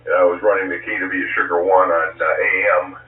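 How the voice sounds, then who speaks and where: A man with a low voice, still getting over a cold, checks in on a radio net and pauses often with "uh". He sounds far off in an echoey space, heard through a thin, phone-like signal. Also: level tone. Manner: halting, many fillers. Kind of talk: casual on-air radio chat. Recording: tinny distant radio signal in echoey room.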